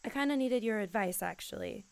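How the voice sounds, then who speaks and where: A young woman playing a worried, caring American high school girl in an audio drama, casual and tentative. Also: expressive, a little fast, creaky, darkish tone, smooth. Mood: worried, gentle. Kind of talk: naturalistic audio drama acting.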